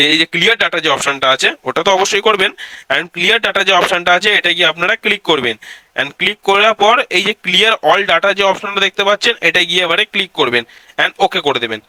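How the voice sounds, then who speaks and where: A man's voice: high voice, bright, forceful tone, no echo, poor audio. A man hosts a casual step-by-step tech tutorial, addressing viewers directly and repeating his instructions.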